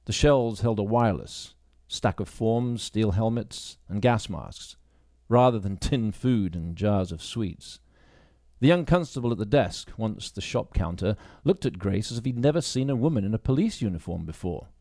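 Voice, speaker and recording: A man's voice: lowish voice, expressive, moderate pace, mellow, dry recording. Man narrating fiction, dry close sound. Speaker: audiobook reader, actor.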